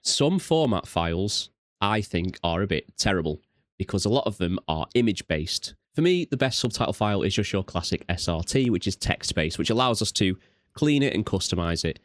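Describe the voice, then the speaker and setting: Low-voiced British man, dry close sound. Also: sing-song, moderate pace, no room sound. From United Kingdom. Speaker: tutorial host. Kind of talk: casual software walkthrough narration.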